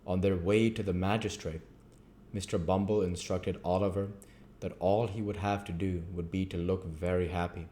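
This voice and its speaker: Male audiobook reader, low pitch, scripted narration, classic storybook prose. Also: fairly even intonation, moderate pace, mellow, slight background noise.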